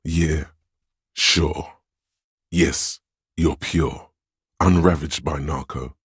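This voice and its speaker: A man voicing a domineering inner voice in a video game, commanding, measured, grave and pompous in delivery. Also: husky, no room sound, clean studio sound, heavily acted. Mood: assured, approving. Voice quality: very deep, resonant. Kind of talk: scripted game narration, inner-voice commentary.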